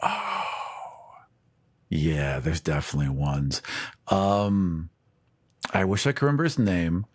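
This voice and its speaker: A man's voice: low voice, takes it slowly, pauses often. A man co-hosting a podcast, easygoing and self-deprecating, laughing often in casual chat. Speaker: podcast co-host and player. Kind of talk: casual banter.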